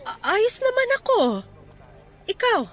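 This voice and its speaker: Balisang dating nobya, napakataas ang boses. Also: female voice, very high-pitched, a little slow, a bit bright. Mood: shy, surprised. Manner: emotional, protesting. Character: bewildered, guarded middle-aged former girlfriend. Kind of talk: radio drama acting in Tagalog. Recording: music under the voice.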